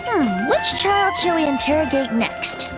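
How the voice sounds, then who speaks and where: A woman's voice: high-pitched, big pitch swings, unhurried, low-quality recording. A woman voicing a playful, light-hearted young bard in a video game, with a lilting, theatrical and musing way of talking. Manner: lilting, musing, slightly florid. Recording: music behind the voice.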